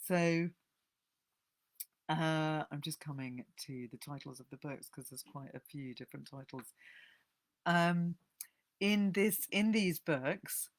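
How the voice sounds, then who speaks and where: A woman's voice: deep voice, slow, pauses often. A woman giving an informal talk about books to an audience, chatty, with frequent ums and small self-corrections.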